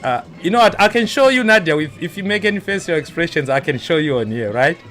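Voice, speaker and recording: A man's voice: fairly high pitch, animated, fast talker, fairly bright tone, faint music behind. A man hosting a live online show, chatty and teasing as he greets viewers by name. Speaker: show host.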